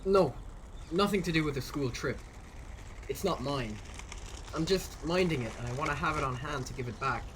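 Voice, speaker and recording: A man's voice: fairly high pitch, normal speed, pauses often, natural voice. A man playing a reserved, polite teenage boy who works as a kitchen helper, in a children's audio drama. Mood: curious, guarded. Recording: clear background noise.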